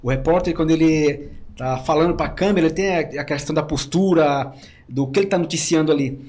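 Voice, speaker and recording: Man, reporter guest, high voice, Northeastern-São Paulo mixed accent, animated storyteller. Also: fairly quick. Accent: Northeastern Brazilian mixed with São Paulo. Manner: animated storyteller, often says "cara". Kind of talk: casual podcast chat.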